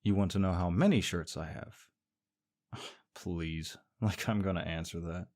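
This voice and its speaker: A man's voice: low-pitched, fairly even intonation, a little slow, darkish tone. A young man performing a scripted audio roleplay as a sarcastic, teasing rival co-worker. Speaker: voice actor playing a smug rival co-worker.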